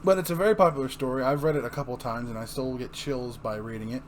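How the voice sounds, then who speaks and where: A man's voice: mid-range voice, steady tone, fast. Casual male host, faintly noisy recording. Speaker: host and reader. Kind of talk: casual chat and comic book narration.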